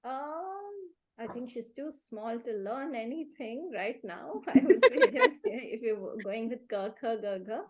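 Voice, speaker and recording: A middle-aged woman with an Indian accent gives conversational advice in a live online class, speaking in an emphatic, animated and opinionated way. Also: a little high, unhurried. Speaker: reading teacher and parenting expert. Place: online video call.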